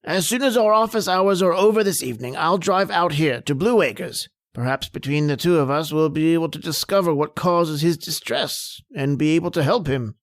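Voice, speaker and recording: An actor playing a grave, kindly family doctor in an old-time radio drama, speaking in a measured, concerned way. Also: medium pitch, dry recording, studio quality, lightly acted. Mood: troubled, concerned.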